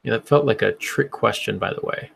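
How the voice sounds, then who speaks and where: A man talks in a casual panel discussion, with a noticeable gravelly fry in his voice. His pitch sits in an ordinary middle range. He explains as a podcast co-host would, thinking his way through each point out loud. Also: a little fast. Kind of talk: casual panel discussion about fiction writing.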